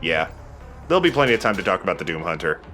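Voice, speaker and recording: A man's voice: low voice, very animated, quick pace, sharp tone, soft background music. A man hosting a let's play, giving casual gameplay commentary.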